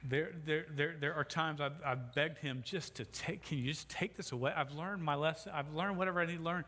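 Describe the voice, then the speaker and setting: Stuttering pastor preaching in a hall. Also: male voice, a little high, a little fast, bright, forceful tone. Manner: stutters, with repeated sounds and restarts. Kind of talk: sermon. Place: church sanctuary. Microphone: sound system microphone. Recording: long hall reverb, faint background noise.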